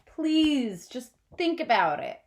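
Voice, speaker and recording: A woman's voice: high voice, sing-song, takes it slowly, pitched above the performer's own voice, exaggerated voice. A woman voicing an earnest seventh-grade girl in a storybook, pleading in a whiny, exasperated and emphatic way.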